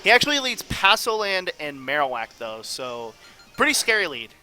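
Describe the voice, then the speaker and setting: A man's voice: fairly high pitch, sing-song, normal speed, sharp tone, soft background music. A man giving casual, off-the-cuff commentary on a game as host, rambling and analytical as he thinks aloud. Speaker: gaming video host.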